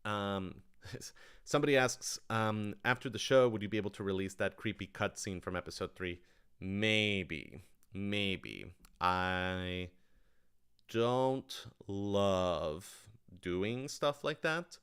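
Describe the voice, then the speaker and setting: A man's voice: lowish voice, lively intonation, slow, a bit bright, smooth. A man talking casually on a livestream Q&A, thinking aloud in a rambling, enthusiastic way. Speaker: writer-director of audio dramas answering questions.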